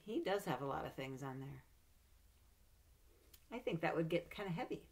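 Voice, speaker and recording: A middle-aged woman reading a children's picture book aloud at home, expressive and animated, with playful asides between pages, recorded on a webcam. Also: deep voice, normal speed, slightly rough. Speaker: storybook reader. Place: home room with bookshelves. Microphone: webcam at desk.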